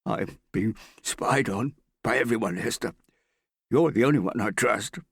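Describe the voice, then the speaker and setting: A male narrator plays a frightened, suspicious man who drinks and hides from the world. His voice rides high and urgent, pleading and easily roused to anger. It is audiobook character work, closely and dryly recorded. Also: measured pace, vocal fry, breathy edge, dry recording, studio quality, exaggerated voice. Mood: anxious pleading. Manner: agitated, suspicious, pleading, prone to shouting. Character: paranoid, shaky, hard-drinking frightened man in hiding.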